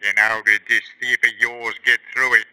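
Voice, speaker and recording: An actor playing a stern, commanding official who orders an arrest in a 1950s radio drama. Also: male voice, medium pitch, flat delivery, normal speed, clear, resonant tone, poor audio. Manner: commanding, forceful.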